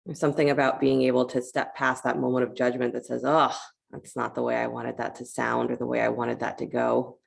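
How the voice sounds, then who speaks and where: A woman teaching a guided yoga class, giving step-by-step movement cues in a conversational, encouraging manner with a creaky voice. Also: a bass voice, completely flat delivery, brisk.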